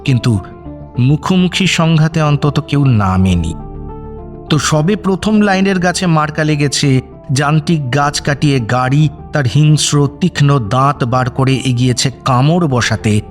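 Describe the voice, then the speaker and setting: A man's voice: a little high, expressive, unhurried. A man narrating a suspense story as the storyteller of an audio drama, reading scripted prose dramatically. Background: faint music.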